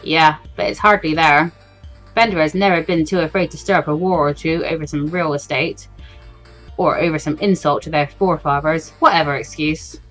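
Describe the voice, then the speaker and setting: A woman's voice: deep voice, fairly even intonation, normal speed, fairly bright tone, soft background music, voice pushed down, low-quality recording. A woman voicing a cynical, blunt man drinking at a bar in a visual novel, talking in a casual, grumbling way. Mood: grim, resigned. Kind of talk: visual novel read-aloud.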